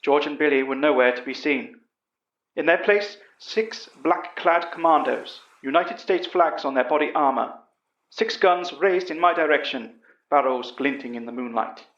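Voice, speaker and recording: An earnest old English professor , played by a man, recounts a monster tale in a fairly high voice. It is first-person horror audio drama with a roomy, slightly muffled sound. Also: normal speed, a bit bright. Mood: urgent.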